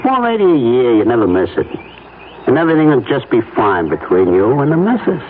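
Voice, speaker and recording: An actor in a 1970s radio drama playing a smug small-time blackmailer, falsely friendly and wheedling in a folksy way. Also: male voice, medium pitch, huge pitch swings, fairly quick. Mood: smug, coaxing. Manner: folksy, mock-apologetic, wheedling. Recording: muffled old radio broadcast, faint noise.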